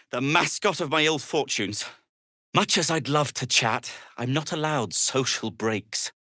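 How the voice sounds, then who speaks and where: A man's voice: medium pitch, pushed and bright, no room sound, studio quality. A male actor playing a world-weary, prim gnome in a video game, wry and exasperated, with formal wording. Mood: weary, sardonic. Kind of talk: video game character dialogue.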